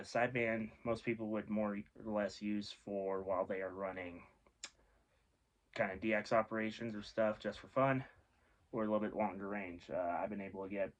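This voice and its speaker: Guy doing a casual explainer vid, kinda low voice, rambly and offhand. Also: lo-fi sound. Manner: rambling, self-correcting, offhand. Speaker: host of a radio hobby video series.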